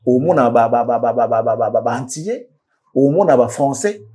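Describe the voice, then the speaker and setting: A man's voice: mid-range voice, fairly quick, warm tone, breathy edge. A man commenting in a casual studio discussion, switching between French and Lingala, often restarting and repeating words. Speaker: commentator guest.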